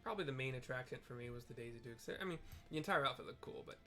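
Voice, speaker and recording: A man narrating a fantasy game's story for listeners, with chatty, excitable asides between the lines. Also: mid-range voice, rapid-fire, faint music behind. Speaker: game streamer narrating story quests. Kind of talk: casual commentary and read-aloud narration.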